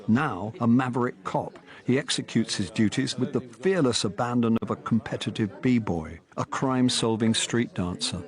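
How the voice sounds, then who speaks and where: An actor playing a wry, unlucky ageing actor with a British accent, dry and sardonic in a radio comedy drama. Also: male voice, medium pitch, moderate pace, few pauses, lightly acted. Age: older. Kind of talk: radio comedy drama, confiding narration and dialogue.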